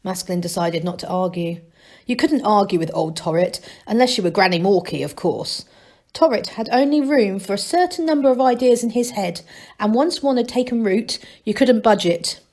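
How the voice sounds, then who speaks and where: A woman reading a children's novel aloud as a storyteller, in expressive scripted narration. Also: mid-range voice, moderate pace.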